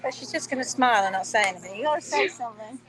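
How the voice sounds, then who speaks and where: Woman, slightly high pitch, emphatic, workshop host on a phone stream. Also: animated, very fast, fairly bright tone. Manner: emphatic, reads viewer comments aloud. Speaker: hoof-trimming workshop host. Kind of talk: live-streamed workshop teaching. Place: indoor workshop room.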